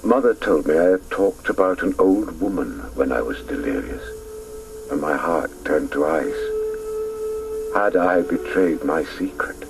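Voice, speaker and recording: An actor playing a grown man who recalls his boyhood in a wistful, measured first-person radio drama narration, with a gravelly voice. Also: animated, distant mic, exaggerated voice. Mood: wistful recollection. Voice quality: deep, gravelly. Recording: noisy old radio broadcast recording.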